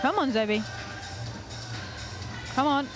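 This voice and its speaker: Young woman doing climbing commentary, fairly high voice, cheering folks on, music playing behind. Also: animated, slow pace. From North Wales. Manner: chatty, encouraging, cheers climbers on. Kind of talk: live sports commentary.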